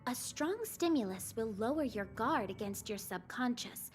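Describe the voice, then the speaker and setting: Woman as a secretive, high-voiced mystery woman. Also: expressive, normal speed, soft background music, close to an everyday voice. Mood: coaxing, secretive. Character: secretive, softly insistent mysterious woman, keeper of memories. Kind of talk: video game voice acting.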